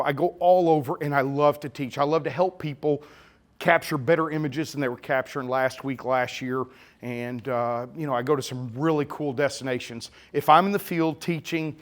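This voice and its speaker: A middle-aged man with a slurry Texas-Oklahoma drawl giving a chatty, teasing live photography talk to a full room. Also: mid-range voice. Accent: Texas-Oklahoma blend. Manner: joking, teasing, sarcastic, chatty. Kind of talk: live presentation to an audience. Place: camera store event room with audience.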